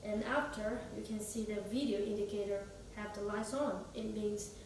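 A young woman demonstrates a product step by step, speaking in halting phrasing. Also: mid-range voice, fairly even intonation, a little mellow. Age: young adult. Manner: halting non-native English phrasing. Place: small indoor office or meeting room. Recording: echoey room, distant mic, noisy background.

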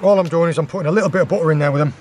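A man's voice: on the high side, rapid-fire, darkish tone, a little hiss or hum. A man in his thirties on a rocky beach, explaining step by step how he prepares seafood while working with his hands. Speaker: guest forager demonstrating cooking. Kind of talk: casual outdoor how-to explanation.

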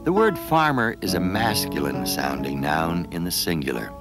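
A man's voice: deep voice, big pitch swings, moderate pace, over loud music. A man reading scripted narration as the narrator of a documentary.

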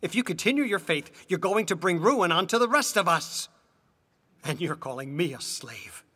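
A man's voice: high-pitched, sing-song, normal speed, pushed and bright, husky, heavily acted. A man playing an anxious middle-aged husband, pleading and exasperated, in a dubbed stage drama. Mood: desperate. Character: anxious, pleading husband afraid of losing his job. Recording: reverberant hall-like sound.

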